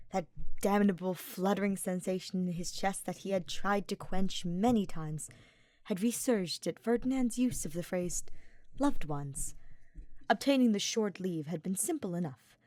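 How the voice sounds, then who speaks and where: A woman reading a scripted story aloud as an audiobook-style narrator, expressive and deliberate. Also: mid-range voice, no echo. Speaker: audiobook-style reader of fan fiction.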